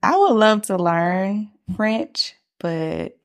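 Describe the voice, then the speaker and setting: A woman's voice: mid-range voice, very animated, drawn-out words. A woman, hosting a casual podcast chat, talking loosely with lots of fillers. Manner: chatty, lots of "like" fillers, laughs easily. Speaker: podcast host.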